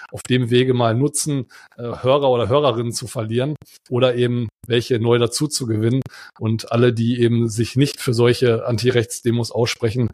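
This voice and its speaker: German male co-host, husky mid voice, loose rambling talker. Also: medium pitch, darkish tone. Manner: chatty, rambling with fillers and restarts. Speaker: podcast co-host. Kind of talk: casual chat.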